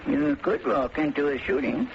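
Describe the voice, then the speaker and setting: A man's voice: fairly high pitch, normal speed, natural voice. A man playing a hostile, folksy small-town sheriff in an old-time radio drama, curt, rustic and threatening. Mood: menacing. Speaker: supporting radio actor. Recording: muffled, hissy vintage radio recording.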